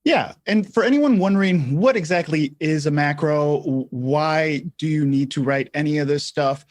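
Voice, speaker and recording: A man co-hosting a livestream, giving casual tutorial explanations in halting phrases with some repeats. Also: on the high side, a little mellow, no room sound. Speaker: livestream co-host.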